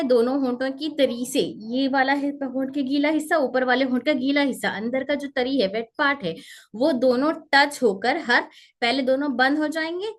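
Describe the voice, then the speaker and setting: A woman teaching Quranic letter pronunciation in an online class, repeating sounds slowly and mixing in English words. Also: sits high.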